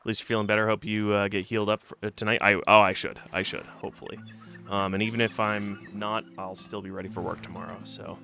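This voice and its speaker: A man with a low, gravelly voice talks his way through a retro game on stream, a bit stuffy from a cold. He rambles and thinks aloud with plenty of fillers, his tone bright and forward. Game music plays faintly behind him. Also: level tone, fast. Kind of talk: casual let's-play commentary.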